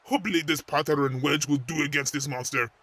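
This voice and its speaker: A man's voice: fairly high pitch, fairly even intonation, brisk, sharp tone, dry recording. A man voicing a blustering, indignant, hot-tempered Celtic golf warrior in a cartoon fight show. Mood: indignant, defiant. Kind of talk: animated fight-show character acting.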